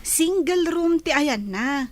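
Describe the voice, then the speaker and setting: Casting: a reassuring young daughter, high girlish voice, Filipino accent, radio drama acting. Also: lively intonation, slow. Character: young daughter talking worriedly, reassuringly with her father.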